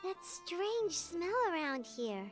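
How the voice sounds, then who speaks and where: A woman voicing a small, curious, excitable fairy companion in a video game. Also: extremely high pitch, takes it slowly, full character voice. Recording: game music under the voice.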